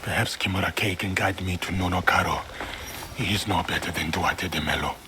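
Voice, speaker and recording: A man playing a formal, resolute foreign-born samurai in a video game cutscene, speaking in a measured, grave way. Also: deep voice, steady tone, background noise, close to an everyday voice. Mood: brooding.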